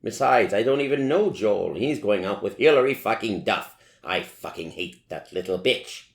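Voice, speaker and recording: A man's voice: fairly low pitch, expressive, moderate pace, vocal fry, echoey room. A man voicing a moody, foul-mouthed teenage goth girl in a theatrical, exclamatory manner for a story reading. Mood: gushing, spiteful.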